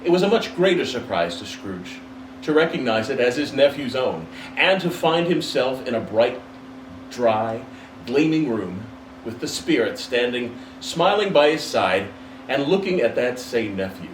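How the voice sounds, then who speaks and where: A man narrates a classic story with dramatic expression and a lively, swooping pitch. He sounds set back from the microphone in an echoing room, with faint noise behind him. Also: measured pace. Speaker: storybook reader. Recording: roomy, distant mic, faint background noise.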